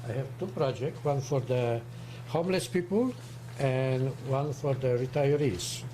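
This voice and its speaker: A man in his seventies from Poland with a strong Polish accent, speaking haltingly on a casual livestream as co-host. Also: mid-range voice, warm tone, a little hiss or hum. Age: 70s. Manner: halting, searching for English words.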